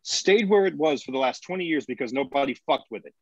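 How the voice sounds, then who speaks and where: A man playing a gruff, sarcastic, short-tempered colony administrator in a screenplay table read. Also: mid-range voice, lively intonation, quick pace, dry recording. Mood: irritated, sarcastic. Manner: curt, sardonic, foul-mouthed. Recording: dull lo-fi audio.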